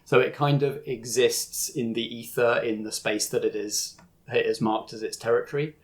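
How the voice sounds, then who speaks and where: A man running a tabletop role-playing game, explaining things in a measured way and pausing to pick his words. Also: medium pitch, fairly even intonation. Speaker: game master.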